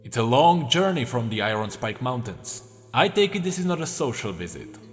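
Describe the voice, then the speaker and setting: A man voicing a cunning one-armed old general with a cultured, measured manner, over faint music. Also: mid-range voice, sing-song, big-room sound, voice pushed down, natural voice. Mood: silky menace.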